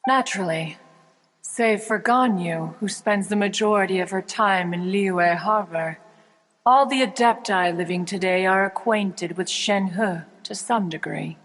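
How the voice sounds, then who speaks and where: A woman's voice: mid-range voice, unhurried, close to an everyday voice. A woman voicing a dignified, haughty female immortal in a video game, speaking in a formal, pompous, archaic way. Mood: stately. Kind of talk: video game character dialogue. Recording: long reverb, soft music behind.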